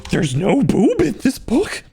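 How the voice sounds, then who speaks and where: A man with a high voice talks like a casual livestreamer reacting to things. His pitch moves around a great deal, giving him a very animated sound. He is slangy and joking, with an incredulous edge. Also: a little slow, gravelly, soft background music. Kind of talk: casual livestream reaction with book read-outs.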